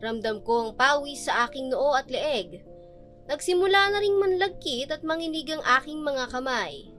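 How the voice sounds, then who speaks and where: A woman's voice: high voice, lively intonation, normal speed, a bit bright, soft background music. A woman playing a young female student telling her love story in an earnest, poetic radio drama narration. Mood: anxious, tearful memory.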